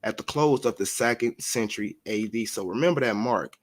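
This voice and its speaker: A man's voice: fairly low pitch, fairly quick. A man teaching history to listeners he calls family, reading passages aloud, then going back over them with frequent recaps and fillers.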